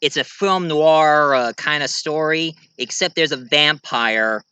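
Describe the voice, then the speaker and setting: Bright, higher-voiced American man, halting. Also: clear, resonant tone. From United States. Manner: halting, rambling, self-correcting. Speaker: amateur reviewer and story writer presenting own work. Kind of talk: casual show-and-tell monologue to camera.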